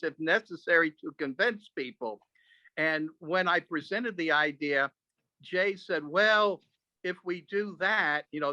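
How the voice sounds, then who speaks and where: A man telling earnest, halting reminiscences to a group over a video call. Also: a little high, sharp tone, dry recording. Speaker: speaker sharing memories at an online club meeting. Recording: dull, dry video call audio.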